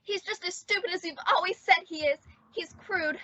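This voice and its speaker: Lady doing a super high dramatic teen girl, lovesick and indignant, old radio comedy, muffled audio. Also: moderate pace, pushed and bright, slight background noise. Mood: wounded indignation. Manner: histrionic, emphatic, wailing. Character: melodramatic, indignant, lovelorn teenage girl. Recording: dull, lo-fi radio-play audio.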